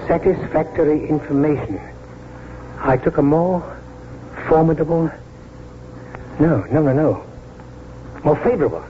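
Actor as kindly, emphatic older country doctor, old-time radio. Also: male voice, on the high side, close to an everyday voice. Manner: earnest, emphatic, theatrical. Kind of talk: 1940s radio drama acting. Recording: muffled 1949 radio broadcast, music behind.

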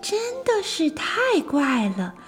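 A very high, lively young girl played by a woman for a children's storybook. She is earnest and full of wonder, with music clearly playing behind her. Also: slow pace, exaggerated voice. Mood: amazed, uneasy. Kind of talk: children's audio storybook acting.

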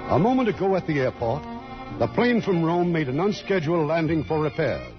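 A man's voice: on the high side, big pitch swings, brisk. A man hosting an old radio theatre as producer, giving formal scripted narration. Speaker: producer and host. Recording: muffled old radio broadcast, faint music.

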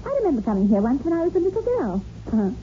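An actress playing a curious, skeptical middle-aged lady of the house in a 1960s radio drama, expressive and probing. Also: high voice, rapid-fire. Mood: wistful, puzzled. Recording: muffled, noisy old radio recording.

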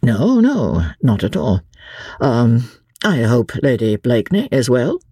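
A woman's voice: a bass voice, sing-song, slow, mellow, no echo, studio quality, heavily acted. A woman voicing an astute little Frenchman, an audiobook villain who speaks with guarded, feigned courtesy. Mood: uneasy, polite. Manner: smoothly courteous, guarded, stammering when rattled. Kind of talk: dramatised audiobook character voice.

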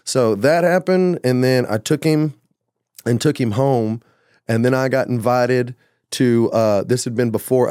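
A man's voice: mid-range voice, darkish tone, no room sound, clean studio sound. A man talking about his songwriting in a casual, rambling way, with lots of "like" and "you know.". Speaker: musician guest. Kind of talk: casual conversation.

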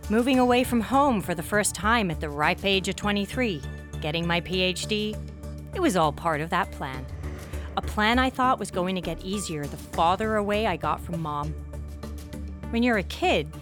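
A woman's voice: mid-range voice, natural voice. A young woman playing a sheltered, wry daughter in her twenties in an audio drama, with a self-deprecating, introspective manner. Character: sheltered, wry twenty-three-year-old daughter, a genetics PhD student. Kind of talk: audio drama acting with introspective first-person narration. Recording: music behind the voice.

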